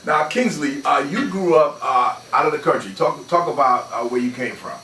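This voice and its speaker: A man hosting a radio interview in a studio, relaxed, with many "uh" fillers, calling his guest brother. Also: on the high side, moderate pace. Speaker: radio show host. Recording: roomy, distant mic with noise.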